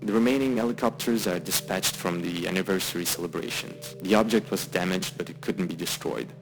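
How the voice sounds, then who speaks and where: A man's voice: low-pitched, brisk, creaky, over music, low-quality recording. A man giving scripted commentary as the narrator of a horror video essay.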